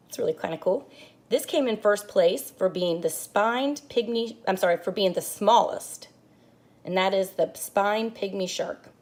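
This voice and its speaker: A woman teacher reading a children's book aloud, explaining pages to young students as she goes. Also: medium pitch. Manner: expressive storybook reading with asides to kids. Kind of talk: children's read-aloud lesson.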